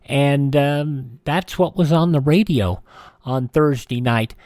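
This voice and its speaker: A man presents as host of a classic radio program. His voice sits a little high and is recorded very dry. He delivers listings matter-of-factly, with a halting, partly improvised flow. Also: a little mellow, no echo. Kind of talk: semi-scripted presenting, broadcast listings.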